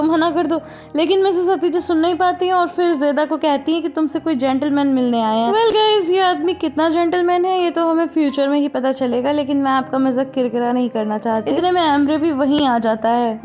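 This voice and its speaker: Woman, recap host, bright high voice, chatty and direct with viewers, music bed underneath. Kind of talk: conversational plot recap. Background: background music.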